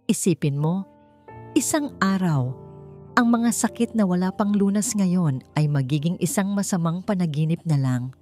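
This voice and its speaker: A woman voicing a tired but hopeful mother, a scientist, explaining gently and earnestly in a story. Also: fairly low pitch, expressive, normal speed, close to an everyday voice. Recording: music under the voice.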